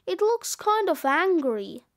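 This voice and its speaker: A woman's voice: extremely high pitch, sing-song, slow, exaggerated voice. A woman voicing an earnest, indignant young boy in a children's audiobook, speaking plainly. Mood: doubtful.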